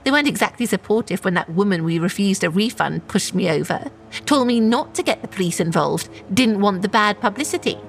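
A woman's voice: medium pitch, expressive, brisk, creaky, faint music behind. An actress playing an anxious, self-justifying woman shop manager in a naturalistic audio drama. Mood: worried.

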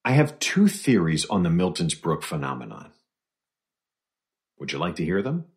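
An actor playing a measured doctor who questions a survivor calmly and clinically in an audio horror drama. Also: male voice, low-pitched. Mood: calm, probing. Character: measured, probing male doctor interviewing a survivor.

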